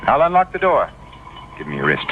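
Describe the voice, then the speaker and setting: A man's voice: medium pitch, huge pitch swings, brisk. A man acting a polite, condescending, cunning killer in an old-time radio drama, with formal, menacing speech. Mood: tense commands. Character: courteous, cunning, deranged male killer in handcuffs. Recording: muffled, very noisy old radio recording.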